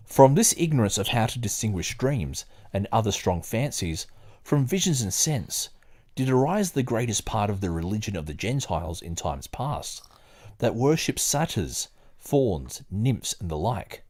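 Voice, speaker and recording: A man with a fairly deep voice hosts a podcast. He talks casually in a hesitant, wandering way, and also reads passages aloud. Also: big pitch swings, a little mellow. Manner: hesitant, halting, digressive when talking. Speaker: podcast host. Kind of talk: casual chat and reading aloud.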